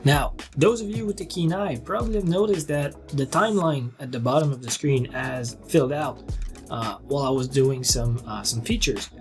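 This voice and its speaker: A man giving an informal, step-by-step explanatory narration as a software demonstrator. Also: medium pitch, moderate pace, soft background music.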